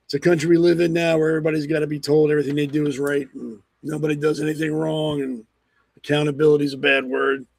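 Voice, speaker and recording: A man hosting a political talk show, blunt and rambling with lots of ums, hoarse from a cold. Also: on the high side, darkish tone, no room sound. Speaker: podcast host. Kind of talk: opinionated political commentary.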